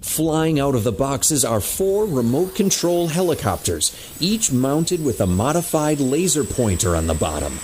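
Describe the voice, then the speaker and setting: A man's voice: mid-range voice, big pitch swings, unhurried, darkish tone, a little hiss or hum. A man delivering scripted dramatic narration as the narrator of a story.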